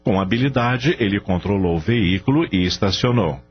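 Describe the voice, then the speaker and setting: A Brazilian man narrates a radio drama in a low voice with very lively intonation. His scripted storytelling sits over music, and the sound is slightly muffled. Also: normal speed, breathy edge.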